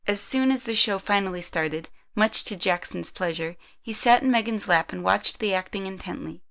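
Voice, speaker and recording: A woman's voice: medium pitch, moderate pace, vocal fry, fairly bright tone. A woman reading scripted third-person narration for an amateur audio drama.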